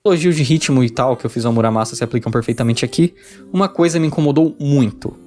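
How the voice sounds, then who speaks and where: A man's voice: mid-range voice, quick pace, a little mellow. A man with a Brazilian accent narrating a scripted video review as a reviewer of visual novels.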